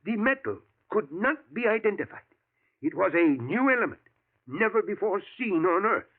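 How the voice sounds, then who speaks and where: An actor playing an elderly male professor, a thoughtful scientist who talks in a measured way and chuckles often, in a 1940s radio drama. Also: sits high, big pitch swings, exaggerated voice. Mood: confiding. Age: older. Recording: tinny lo-fi old radio broadcast.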